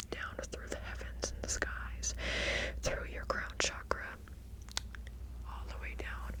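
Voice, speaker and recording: A woman whispering breathily in a soothing, reassuring ASMR roleplay as a gentle witch healer, often repeating phrases slowly. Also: low-quality recording. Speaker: ASMR creator playing a healer. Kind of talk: whispered ASMR roleplay, guided energy healing.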